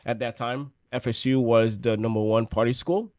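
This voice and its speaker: A man in his thirties from Haiti, a podcast guest telling his life story in a relaxed, joking way with many fillers. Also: mid-range voice, normal speed, no room sound, studio quality. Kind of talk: casual storytelling.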